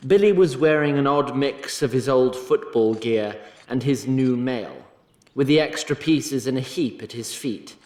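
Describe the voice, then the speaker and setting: A man narrating a scripted fantasy story in a measured, dramatic manner with an occasional wry touch. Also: mid-range voice, a little mellow, echoey room, long reverb tail.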